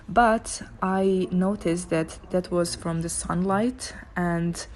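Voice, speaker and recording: A woman's voice: fairly low pitch, takes it slowly, a little mellow, a little hiss or hum. A young woman with a Serbian accent, a photographer narrating her shoot in a chatty way and laughing often. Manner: chatty, laughs often, non-native phrasing. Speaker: photographer vlogger.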